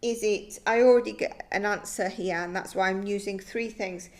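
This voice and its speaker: A woman's voice: medium pitch, fairly even intonation, normal speed, a little mellow. A woman giving a psychic tarot reading, thinking aloud in a tentative, musing way that often trails off.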